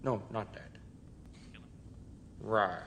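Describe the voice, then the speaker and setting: An actor playing a theatrical, easily flustered evil mastermind, pompous and halting, in a comedy clip. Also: male voice, lowish voice. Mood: awkward. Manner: pompous, halting comic delivery. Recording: echoing room, clear background noise.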